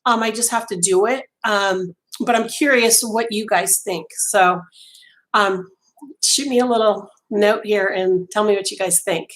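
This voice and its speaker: Middle-aged woman, voice going hoarse, chatty and rambling, webcam audio, small home room. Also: medium pitch, unhurried, low-quality recording. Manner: chatty, many ums and restarts, talks with her hands. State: losing her voice. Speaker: livestream host. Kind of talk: casual chat with live viewers.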